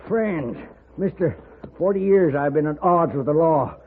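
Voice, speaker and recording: An actor playing a cantankerous, wounded old outlaw with an American accent, grumbling and stammering in a folksy way in a 1950s radio Western. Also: male voice, fairly high pitch, expressive, a little slow. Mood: crotchety, defiant. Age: older. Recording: noisy, phone-like 1950s radio recording.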